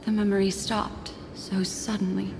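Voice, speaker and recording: A woman's voice: medium pitch, flat delivery, moderate pace, gravelly, noisy background, natural voice. A woman voicing a serious, thoughtful companion in a video game, pensive and questioning. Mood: pensive, puzzled. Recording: long reverb, music behind.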